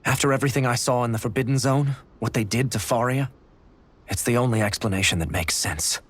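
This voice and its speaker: A man's voice: medium pitch, brisk, fairly bright tone, natural voice. A man playing a grave, resolute young fighter.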